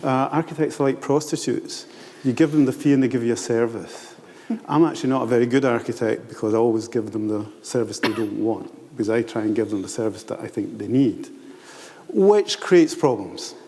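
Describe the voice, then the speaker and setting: An older man with an Italian accent talks with wry, self-deprecating candour. His voice is mellow and rather dark, carried by a long, ringing hall reverb that suits a big public room. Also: medium pitch, a little fast. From Italy. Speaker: architect and planner on a panel. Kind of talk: candid panel talk.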